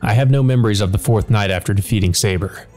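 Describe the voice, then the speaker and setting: A man's voice: on the low side, quick pace, a little mellow, faint music behind, lightly acted. A man voicing a stern, intense fighting woman. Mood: angry, demanding. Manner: stern, demanding, formal. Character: proud, intense adult woman, a battle-hungry master of a servant.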